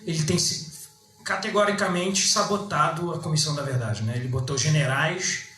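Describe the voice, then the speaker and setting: A man speaking as a panelist at a public debate, halting and full of restarts and tag questions. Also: fairly high pitch, sharp tone. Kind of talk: panel discussion remarks. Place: cultural center event room. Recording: echoey room with faint noise.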